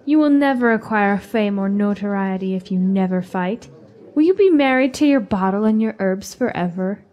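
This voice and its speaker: A woman's voice: medium pitch, expressive, moderate pace, muffled recording, natural voice. A woman playing a haughty, ambitious young village beauty in a fantasy radio drama, with an airy manner. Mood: scornful.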